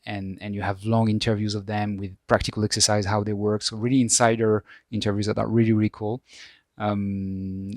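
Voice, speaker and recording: A man's voice: fairly low pitch, darkish tone, dry recording, clean studio sound. A man in his thirties sharing feedback as a former student, talking with frequent ums and restarts. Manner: hesitant, many ums and restarts. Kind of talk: casual testimonial.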